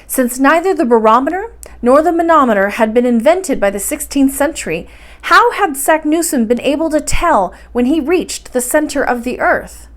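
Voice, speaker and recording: A woman's voice: high voice, lively intonation, normal speed. A woman reading a storybook aloud in an audiobook-style narration. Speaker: storybook reader.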